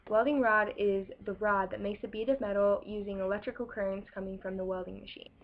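A woman's voice: mid-range voice, steady tone, a little fast. A young woman in a small room presenting a school project about welding to her webcam, in a casual way with many ums and restarts. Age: young adult. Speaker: welding student. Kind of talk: school project explainer video. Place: small room against a plain wall, indoors. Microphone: webcam in front of her. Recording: dull lo-fi webcam audio.

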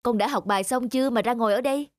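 A woman voicing a stern, doubting father in an animated moral story, with a blunt parental manner. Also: on the high side, racing, no echo, studio quality, lightly acted. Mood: scolding. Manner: scolding, blunt.

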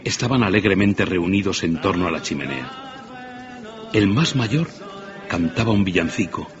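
Male narrator for dramatic storytelling: low voice, muffled recording, musical backing. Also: big pitch swings, measured pace, music in the background.

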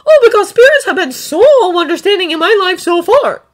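A woman's voice: very animated, fairly quick. A woman voicing a distraught, stubborn boy in an audio story, emotional and snappish. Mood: bitter. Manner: high, emotional, snappish outbursts. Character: distraught, stubborn, self-blaming human boy.

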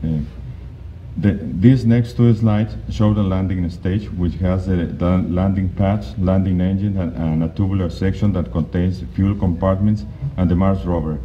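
Man, very low voice, halting conference presenter with many restarts; dull lo-fi recording, faint hiss. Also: steady tone, warm tone. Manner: halting, with frequent restarts and fillers. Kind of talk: slide presentation talk. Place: conference hall.

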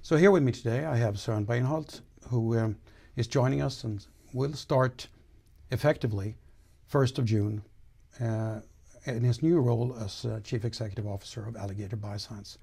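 An older man, a company board chairman, giving a measured, formal address with some hesitations, recorded on a lapel mic in a studio. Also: medium pitch, vocal fry, mellow, slightly rough. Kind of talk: prepared corporate address. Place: studio against a dark backdrop.

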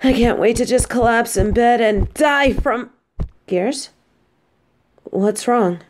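A woman acts out a female supervillain with a fairly high voice and a slow, halting delivery. She is casual and expressive, affectionate and teasing yet easily flustered, as in an intimate audio roleplay. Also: a little hiss or hum. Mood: tired, puzzled. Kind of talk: intimate scripted audio drama addressed to a listener.

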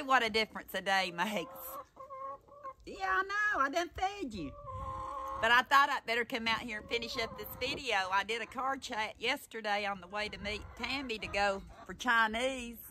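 A woman's voice: on the high side, animated, moderate pace. Folksy older Southern woman, noisy recording. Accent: Southern American. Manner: folksy, rambling. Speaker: vlogger. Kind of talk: casual chat to camera. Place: in a parked car and outdoors in a yard. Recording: noisy outdoor and in-car recording.

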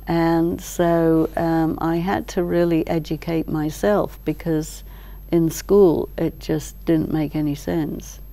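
Older woman, lowish soft-edged mellow voice, explains stuff slowly and carefully, interview guest. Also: flat delivery. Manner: measured, deliberate, pausing between short phrases. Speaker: expert guest, teacher. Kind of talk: thoughtful explanatory interview talk. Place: large library hall, indoors. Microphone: lapel mic.

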